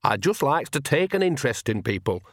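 A man voicing a bold, crafty old witch in an audiobook, brisk, colloquial and commanding, with a sly streak. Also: medium pitch, sing-song, fairly bright tone, dry recording, studio quality. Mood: sweetly sly.

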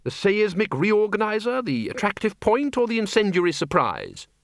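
A man's voice: on the high side, animated, moderate pace, bright, forceful tone, no room sound, studio quality. A man voicing an eager, gung-ho wizard dean in an audiobook, boyishly enthusiastic yet deferential to his chief. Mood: eager pleading.

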